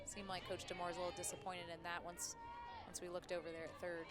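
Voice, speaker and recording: A woman working as a color commentator, giving live softball analysis in a conversational, explanatory way. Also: lowish voice, level tone, fast talker. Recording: music and crowd behind, outdoors. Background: music, ballpark crowd.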